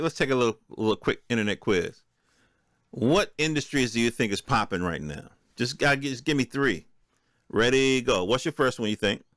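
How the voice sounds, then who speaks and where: A man's voice: medium pitch, fairly bright tone, no echo, clean studio sound. An American man hosting a podcast, commenting in an informal, opinionated, conversational way and speaking directly to his listeners. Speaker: podcast host. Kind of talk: casual solo commentary, reading and reacting to news articles.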